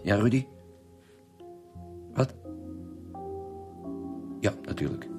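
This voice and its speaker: An actor playing a firm, probing Flemish chief inspector in a radio drama, formal and insistent. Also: male voice, fairly low pitch, animated, extremely slow, music in the background. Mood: firm, pressing. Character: firm, probing male homicide detective.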